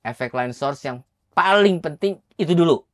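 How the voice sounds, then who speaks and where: A man's voice: on the high side, expressive, moderate pace, dry recording, clean studio sound. A man explaining technical material informally, repeating phrases for emphasis and quizzing his listener as he goes. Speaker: audio engineering teacher.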